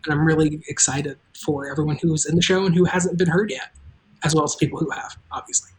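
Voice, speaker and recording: Casual, halting show creator hosting a chat. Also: medium pitch, steady tone, slight background noise, poor audio. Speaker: show creator and table read host. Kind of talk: casual, unscripted talk about making a show. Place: live online stream.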